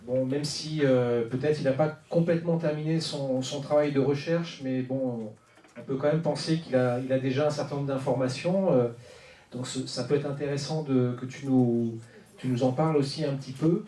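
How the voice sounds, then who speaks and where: A man's voice: medium pitch, fairly even intonation, moderate pace, dark tone. A man organizing a meeting, introducing a guest speaker with many hesitations. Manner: hesitant, many fillers and restarts. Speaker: organizer and moderator. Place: conference room. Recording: roomy hall, far from mic.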